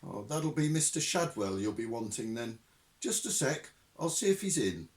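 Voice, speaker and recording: A man's voice: medium pitch, very animated, moderate pace, echoey room, pitched above the performer's own voice, lightly acted. A man voicing a chatty middle-aged woman fortune teller with a breezy, homely manner. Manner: breezy, homely, calls people love.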